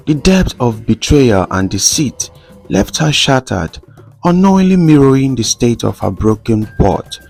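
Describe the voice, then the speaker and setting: A man narrates a folktale in a low, creaky voice. His delivery is slow, formal and solemn, like scripted storytelling, with faint music underneath. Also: darkish tone.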